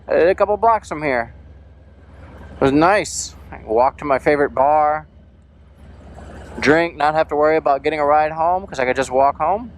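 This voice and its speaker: A man casually narrating his motorcycle ride in an informal way, talking to himself and repeating phrases as he goes. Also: a little high. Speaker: motorcycle vlogger. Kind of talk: casual narration to camera while out riding. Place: outdoors on a moving motorcycle. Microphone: helmet or action camera mic. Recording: engine and wind noise.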